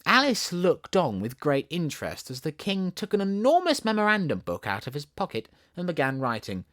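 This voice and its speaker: A man with a Southern English accent narrates a children's storybook. His reading is theatrical and expressive, rising and falling widely in pitch. The sound is close and dry. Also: moderate pace, no room sound. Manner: theatrical, animated. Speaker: audiobook narrator.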